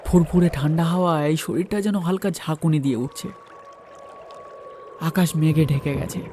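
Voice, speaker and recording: A man's voice: on the high side, normal speed, dark tone, faint music behind. A man narrating a first-person horror story and acting out its dialogue.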